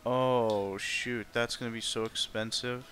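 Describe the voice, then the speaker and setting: A man's voice: mid-range voice, steady tone, a little slow, fairly bright tone. A man chatting casually while streaming himself playing a video game. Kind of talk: casual livestream chat and gameplay commentary.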